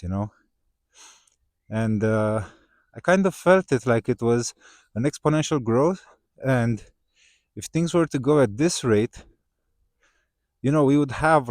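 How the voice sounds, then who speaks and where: A man whose first language is Romanian, talking casually to his viewers with many "uh" fillers and tag questions, growing more animated in places. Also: mid-range voice, no room sound, studio quality. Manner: conversational, many "uh" fillers and "right?" tags, rising when excited. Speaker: vlogger. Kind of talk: casual talk to camera.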